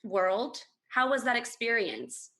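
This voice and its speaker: A woman's voice: fairly high pitch, sing-song, slow pace, bright, forceful tone. A woman hosting an interview, asking questions and giving short encouraging replies. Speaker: interviewer host. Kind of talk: casual interview.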